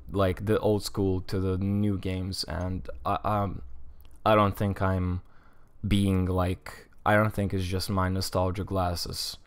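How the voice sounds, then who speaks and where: Lithuanian guy in his 20s, kinda low voice, rambly streamer chat, lots of likes and restarts. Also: clean tone. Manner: rambling, restarts words, many "like" fillers. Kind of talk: casual chat while streaming a game.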